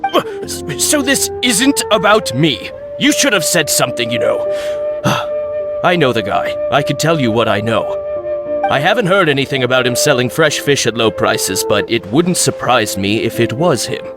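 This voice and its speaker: A man's voice: medium pitch, wildly swooping pitch, moderate pace, loud music behind the voice. A man playing a jittery, blunt and defensive fishmonger in a video game. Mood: nervous, defensive. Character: defensive, jittery male fishmonger, grudgingly kind. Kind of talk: video game character acting.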